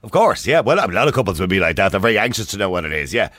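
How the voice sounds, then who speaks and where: A man with an Irish accent hosting a phone-in radio talk show, joking with callers and cutting in quickly. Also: lowish voice, big pitch swings, fairly bright tone, no echo. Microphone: studio microphone.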